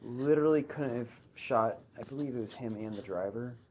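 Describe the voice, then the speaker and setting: A man's voice: medium pitch, a little fast, a little mellow, slightly rough, poor audio. A man chatting as a guest on a casual podcast with friends, explaining points in a plain, matter-of-fact way. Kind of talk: casual chat among friends.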